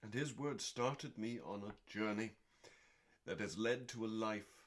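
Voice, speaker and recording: Man, low voice, dramatic book reading, calming delivery, deliberate phrasing, theatrical pauses. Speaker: host and reader. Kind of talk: dramatic, calming audiobook-style cookbook reading.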